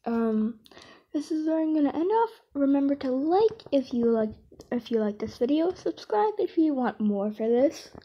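A woman's voice: high voice, expressive, muffled recording. A young woman rambling casually and hesitantly as an amateur video creator, often correcting herself. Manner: hesitant, halting, self-correcting. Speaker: amateur comic dub creator.

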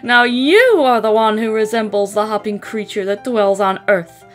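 A woman's voice: mid-range voice, normal speed, soft background music, natural voice. A woman voicing a haughty, easily flustered giant alien matriarch in a regal, indignant manner for a cartoon dub. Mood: gloating.

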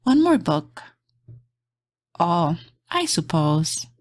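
Female children's read-aloud host: gentle, encouraging, slow and expressive, recorded dry and close. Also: on the low side, dry recording. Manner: gentle, sing-song, encouraging.

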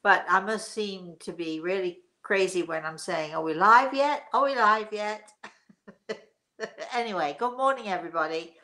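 A woman's voice: mid-range voice, moderate pace, bright, forceful tone. A middle-aged woman from England with an English accent, chatting and telling anecdotes as a host in a rambling, chuckling way. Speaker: host of a live story session. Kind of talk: casual chat and personal anecdotes. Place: living room, indoors.

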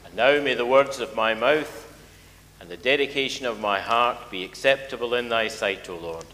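An older man leads worship as a minister, speaking formally in a medium-pitched voice. The sound carries the long reverb of a big church hall. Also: normal speed, bright, forceful tone, a little hiss or hum. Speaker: church minister leading the service. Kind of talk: formal service leading and prayer. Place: large church interior at a lectern.

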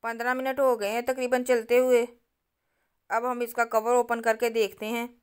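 A woman, narrating a home cooking recipe step by step and speaking directly to her viewers in a calm, instructive way. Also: fairly high pitch, moderate pace, clean tone. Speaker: cooking channel host.

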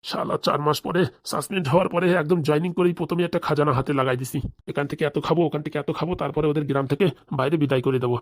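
A man's voice: a little high, steady tone, rapid-fire, a little hiss or hum, close to an everyday voice. A man voicing a greedy, fawning corrupt policeman with a rural Bengali accent in a comedy cartoon. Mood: gloating, vengeful. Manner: obsequious, boastful. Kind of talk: comic cartoon dialogue.

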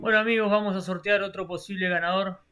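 A young man announces a subscriber giveaway. He sounds grateful and upbeat, talks in a slightly raised pitch, and pads his sentences with casual filler phrases. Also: fairly even intonation, quick pace, over loud music. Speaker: YouTube channel host.

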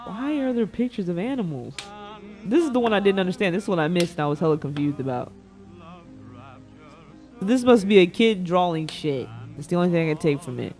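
A man's voice: sits high, expressive, a little fast, a little mellow. A young man livestreaming a casual reaction, bantering playfully with chat in slangy, informal speech. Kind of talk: casual reaction stream commentary. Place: indoor room at a streaming setup. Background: faint background music.

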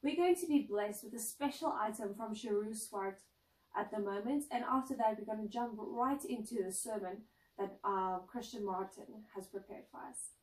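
A woman's voice: medium pitch, moderate pace. Young woman, 20s, upbeat interviewer; echoey room, mic set back. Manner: bubbly, frequent ums and restarts. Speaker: announcer and interviewer. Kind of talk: casual interview chat. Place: indoor lounge set, seated on couch. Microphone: far camera mic. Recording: roomy echo, distant mic.

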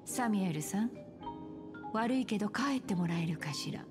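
A woman's voice: medium pitch, lively intonation, normal speed, close to an everyday voice. A woman playing an elegant, calculating pawnshop keeper in a video game, speaking politely with cool, teasing composure. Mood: cool, dismissive. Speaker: game voice actor. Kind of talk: Japanese game story dialogue. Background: game background music.

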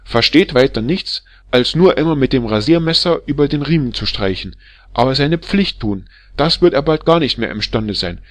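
A man voicing a shrill, furious middle-aged barber's wife who scolds and hurls insults at her husband in a storybook reading. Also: expressive, moderate pace, no room sound, close to an everyday voice. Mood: furious scolding.

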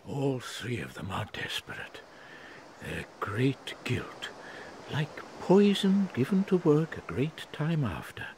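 A man as an anxious counsellor, wildly varied pitch. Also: big pitch swings, takes it slowly, a little mellow, slight background noise. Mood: grave, worried. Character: anxious, kindly male counsellor to nobles. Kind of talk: Shakespearean verse acting in an audio play.

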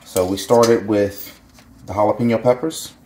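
A middle-aged man hosting a gardening channel, giving a relaxed, chatty how-to demonstration with jokey asides in a home kitchen. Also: lowish voice, moderate pace.

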